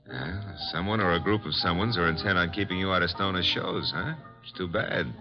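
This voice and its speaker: Radio drama lead, male actor: wisecracking flirt of an American detective, deep-pitched, easygoing patter, dull vintage recording. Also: fairly quick, music in the background, natural voice. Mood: breezy, flirtatious. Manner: breezy, glib. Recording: muffled old radio recording.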